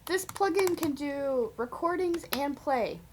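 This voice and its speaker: A woman's voice: high-pitched, lively intonation, slow pace, slight background noise. A woman voicing a chirpy, eager mascot creature who playfully co-hosts a coding show. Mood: explanatory. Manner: chirpy, exclamatory, eager co-host. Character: Dash, perky female mascot creature supervising a programmer.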